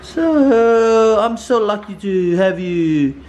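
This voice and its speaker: A man's voice: high voice, expressive, takes it slowly, darkish tone. A man with a Samoan accent hosting a casual vlog, playful and talking in loose, broken English. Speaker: vlog host. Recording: echoey room with clear background noise.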